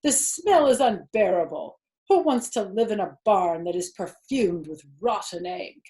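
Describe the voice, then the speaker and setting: A woman's voice: mid-range voice, expressive, measured pace, warm tone, close to an everyday voice. A woman voicing an indignant young lamb who complains, in a children's storybook reading.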